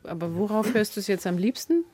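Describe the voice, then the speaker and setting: A woman's voice: mid-range voice, fast, a little mellow. A woman radio reporter putting questions to her guests in a conversational interview.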